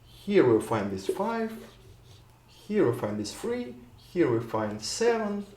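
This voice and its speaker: A man's voice: on the high side, very animated, slow, warm tone, a little hiss or hum. A man from Russia with a Russian accent, giving a university lecture at a whiteboard, thinking aloud with frequent restarts and repeated words. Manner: repetitive restarts, thinks aloud while drawing. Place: lecture hall. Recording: echoey room, voice drifts off mic.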